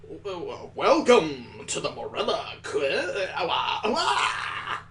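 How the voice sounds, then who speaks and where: A game streamer voicing a timid young bakery woman who stammers in halting, stilted speech for a visual novel. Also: medium pitch, wildly swooping pitch, fairly bright tone, slight background noise, heavily acted. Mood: flustered.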